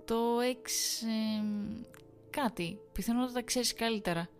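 A woman voicing a frantic young wife who turns shrill and tearful. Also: faint music behind, natural voice. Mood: flustered. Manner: shrill, breaking into sobs.

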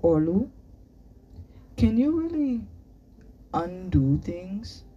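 Woman acting curious, insistent young girl, pitched up. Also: sing-song, drawn-out words, distant mic, muffled recording, a little hiss or hum, exaggerated voice. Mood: hesitant, curious. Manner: questioning, insistent.

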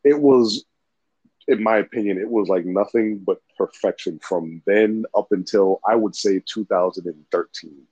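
A man with a very low, husky voice talks in a loose, rambling way and swears casually. The sound is dull and lo-fi. The room is completely dry. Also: normal speed, a little mellow, no echo. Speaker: podcast co-host. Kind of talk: casual chat.

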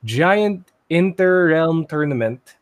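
A man's voice: fairly high pitch, animated, slow. Informal Filipino-accented man co-hosting a podcast. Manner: informal, thinks aloud. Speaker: podcast co-host. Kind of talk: casual chat, explaining a list.

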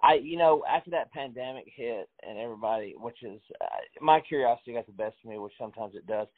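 Fast-talking male host on a tinny line. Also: medium pitch. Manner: rambling, eager, many fillers. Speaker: interview host. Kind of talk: casual interview chat. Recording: phone-like narrow sound.

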